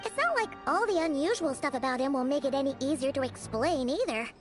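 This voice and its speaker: A tiny, chatty fairy girl for an anime game. The voice is squeaky and extremely high, and it swoops widely with childlike excitability. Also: fairly quick, music in the background. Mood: flustered. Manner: speaks of self in third person.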